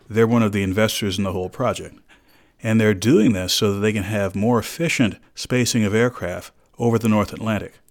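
Low-pitched man, measured expert explainer. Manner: measured, precise, formal explanatory phrasing. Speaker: aviation safety expert, interview guest. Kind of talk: explanatory radio interview answers.

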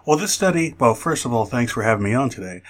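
A man speaking as an expert guest in a radio interview, explaining in a measured, careful way. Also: medium pitch, lively intonation.

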